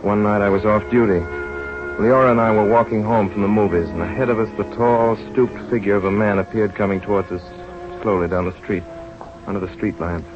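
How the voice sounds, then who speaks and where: A man's voice: lowish voice, steady tone, brisk, lightly acted. An actor playing an earnest young doctor who tells his own story in an old radio drama. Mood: reflective. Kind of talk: 1930s radio drama acting and first-person narration. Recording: muffled old radio recording with music.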